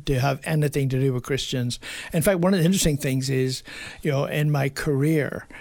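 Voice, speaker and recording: A man's voice: medium pitch, a little fast, gravelly, darkish tone, no echo. An older man with a Northern Irish accent, telling his life story conversationally as an interview guest. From Belfast, Northern Ireland.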